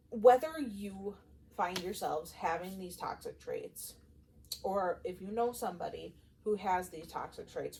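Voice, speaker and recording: A woman's voice: mid-range voice, rough recording. A woman co-hosting a podcast, giving advice in a casual, emphatic, bantering way. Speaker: podcast co-host. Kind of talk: casual conversational advice talk. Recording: clear steady background noise.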